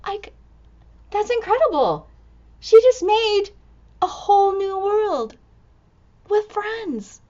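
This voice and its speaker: A woman storyteller reading a picture book to young children in an animated, exclamatory way, full of expressive wonder. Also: extremely high pitch, slow pace, pauses often, highs cut off. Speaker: storytime reader and teacher.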